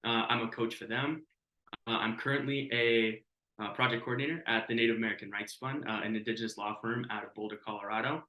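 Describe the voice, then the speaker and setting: A man in his twenties, a youth mentor, introducing himself as a podcast guest in a halting, earnest way with many uhs. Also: mid-range voice, fairly even intonation, sharp tone, reverberant, rough recording. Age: 20s. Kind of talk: casual self-introduction.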